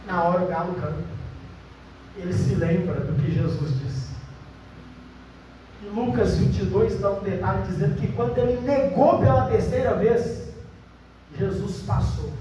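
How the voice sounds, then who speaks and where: A preacher with a high voice tells Bible stories dramatically, drawing out key words in slow, emphatic pauses. He sounds distant from the mic in a large church hall with heavy echo and a faint hiss underneath. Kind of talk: sermon at a prayer vigil. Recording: echoey hall, far from the mic, faint noise.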